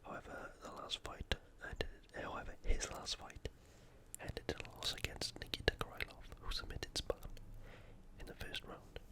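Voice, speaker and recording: A host giving casual, unhurried sports commentary in a breathy, unvoiced ASMR whisper. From United Kingdom. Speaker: host of ASMR fight preview videos.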